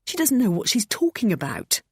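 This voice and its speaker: A woman's voice: mid-range voice, wildly swooping pitch, fairly quick, breathy edge, no room sound, studio quality, voice pushed up, exaggerated voice. A woman voicing a controlling young man who speaks defiantly and barks back. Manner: defiant, barking.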